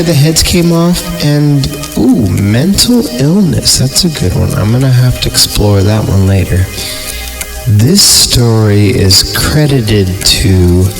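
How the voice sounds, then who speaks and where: A man hosting a podcast, reading horror stories and chatting about them over background music. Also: mid-range voice. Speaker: podcast host and story reader. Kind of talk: horror story narration and casual commentary.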